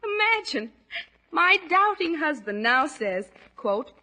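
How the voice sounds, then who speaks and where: An American woman in a vintage radio commercial. Her voice is slightly high and bright, with the scripted delivery of a 1940s testimonial. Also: very animated, slow pace. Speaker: advertising testimonial speaker.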